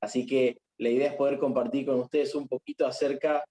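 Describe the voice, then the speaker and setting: A young man with an Argentine accent speaks over a video call, modest and friendly, pausing on fillers. His voice is dark and mellow at a middle pitch. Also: fairly even intonation. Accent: Argentine Spanish. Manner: warm, modest, with many fillers. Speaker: chaplain and speaker. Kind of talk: casual introduction before a talk.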